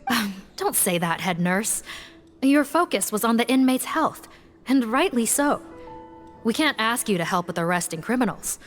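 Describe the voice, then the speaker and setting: A woman's voice: a little high, animated, moderate pace, close to an everyday voice. An actress playing a weary, dutiful woman investigator in a video game, formal and cautious in manner. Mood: concerned, dutiful. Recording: game music behind the voice.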